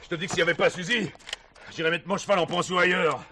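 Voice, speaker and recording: Brash amateur cowboy for radio drama: indignant, bright and forceful, rapid-fire, slight background noise. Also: male voice, sits high, fairly even intonation. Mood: indignant, mocking. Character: brash, indignant weekend cowboy who loves play-acting. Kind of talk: French radio play acting.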